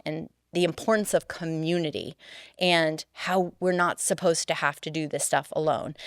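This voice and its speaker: A middle-aged woman co-hosting a podcast, talking earnestly in a casual chat and getting animated when she tells stories. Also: on the low side, smooth, dry recording, clean studio sound. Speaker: podcast co-host and coach.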